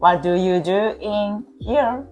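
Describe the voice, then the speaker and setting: Host: female interviewer, unhurried delivery, brief encouraging replies, light music in the background. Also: medium pitch, a little mellow, highs cut off. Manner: short encouraging responses, simple questions. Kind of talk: casual interview conversation.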